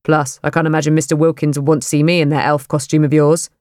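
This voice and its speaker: A woman's voice: low voice, flat delivery, fast, darkish tone, no room sound, clean studio sound, close to an everyday voice. A woman voicing a big, dull-witted but kind young man who talks in a cocky, slangy, self-important way.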